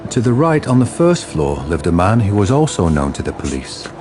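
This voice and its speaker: A man's voice: on the low side, big pitch swings, brisk, background noise. A man reading scripted narration for a radio drama. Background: loud dramatic music.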